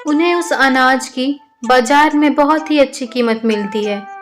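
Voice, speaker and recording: A woman's voice: sits high, a little slow, faint music behind. A woman narrating a scripted children's story as a storyteller.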